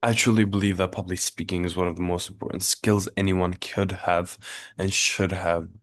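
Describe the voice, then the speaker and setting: A young man from Turkey delivers a prepared class presentation in a very low voice that barely rises or falls. He speaks earnestly, stumbling into small restarts and fillers. The recording is dry and close. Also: level tone, moderate pace, no room sound. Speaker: student giving a class speech.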